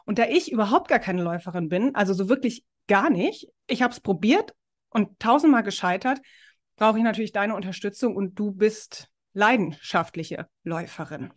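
A woman's voice: mid-range voice, lively intonation, moderate pace. Upbeat woman hosting a podcast. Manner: upbeat, encouraging, chatty. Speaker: podcast host. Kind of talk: casual podcast interview.

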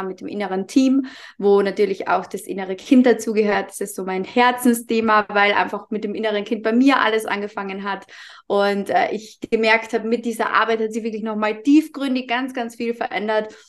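A young woman from Carinthia with an Austrian accent, talking openly as a podcast guest with plenty of filler words. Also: medium pitch. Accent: Austrian German, Carinthian. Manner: warm, open, lots of fillers. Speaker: podcast guest, mindset coach. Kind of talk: casual podcast interview.

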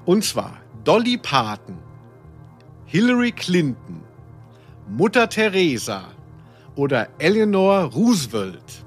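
A man's voice: fairly high pitch, sing-song, slow, long pauses, soft background music. A man co-hosting a podcast, playing quizmaster in casual, teasing banter with a mock game-show delivery.

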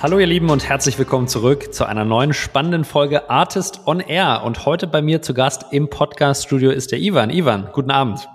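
A man's voice: mid-range voice, fairly quick, hardly any pauses, faint music behind. A man hosting a business podcast, upbeat and peppering his German with English startup terms, talking in a podcast studio. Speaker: podcast host. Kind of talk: casual interview with a scripted intro.

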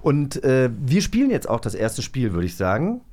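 A man's voice: medium pitch, wildly swooping pitch, normal speed, a little mellow. Chatty man, joking podcast co-host. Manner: joking, self-mocking, chatty. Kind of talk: casual chat, interviewing.

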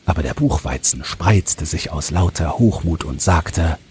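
A man's voice: very deep voice, lively intonation, a little slow, a little mellow. A man narrating a scripted fairy tale as a storyteller. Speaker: storyteller and podcast host. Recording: faint background noise.

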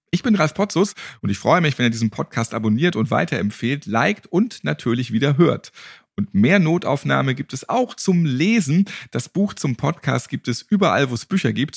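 A man's voice: medium pitch, vocal fry, breathy edge, dry recording, clean studio sound. A man hosting a podcast in a playful, quipping way. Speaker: podcast host. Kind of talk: joking interview and show sign-off.

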